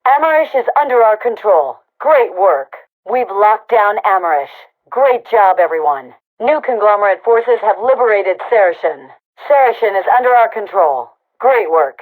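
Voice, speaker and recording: An actress playing a brisk female rebel army commander in a video game, giving clipped, authoritative battle announcements through a radio filter. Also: medium pitch, expressive. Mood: satisfied, proud. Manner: clipped, authoritative military delivery. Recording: tinny, band-limited radio comms sound.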